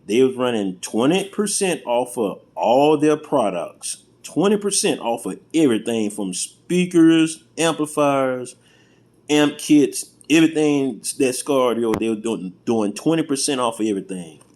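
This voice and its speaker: A man's voice: mid-range voice, expressive, measured pace, darkish tone. An American man chatting casually and informally as he does a hands-on product unboxing, with a folksy, colloquial way of talking. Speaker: vlogger unboxing and reviewing car audio gear. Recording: clearly noisy home-video recording.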